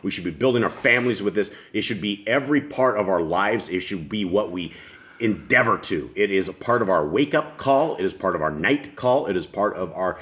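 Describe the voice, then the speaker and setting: A middle-aged man with a deep, low voice leads a home Bible study. He reads scripture aloud at an unhurried pace and stops to put questions to the others. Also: lively intonation, a bit bright.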